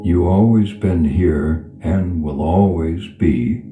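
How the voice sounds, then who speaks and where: Male meditation guide, very low register, slow soothing delivery with long pauses, roomy reverb. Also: phone-quality sound. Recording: reverberant voice over clear background music. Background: background music.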